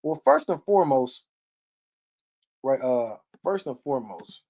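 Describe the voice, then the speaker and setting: A man's voice: medium pitch, big pitch swings, measured pace, a little mellow. A man giving an informal, verse-by-verse Bible teaching, emphatic and blunt, often checking in with short questions and tags. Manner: blunt, salty language. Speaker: Bible teacher on a live stream. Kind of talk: informal sermon, verse-by-verse read-along commentary.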